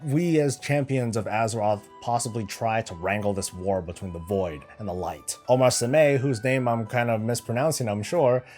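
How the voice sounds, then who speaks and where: A man's voice: mid-range voice, normal speed, hardly any pauses, a little mellow, soft background music. A man from Northern California hosting a gaming channel, casually answering viewer questions with sarcastic asides. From United States, Northern California. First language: Filipino heritage, raised in the US. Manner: joking, sarcastic asides, candid.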